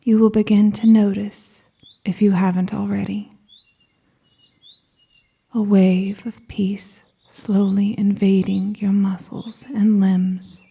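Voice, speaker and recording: A woman with a soft, breathy, whispery voice guiding a scripted meditation in a soothing, gentle manner with deliberate pauses. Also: mid-range voice, little pitch movement. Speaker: hypnosis and meditation guide.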